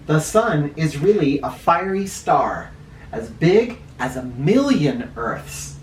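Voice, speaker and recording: A man reading a picture book aloud to children as host, deliberate and explanatory, with informal teaching asides. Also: a little high, big pitch swings. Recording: roomy echo, distant mic, faint noise.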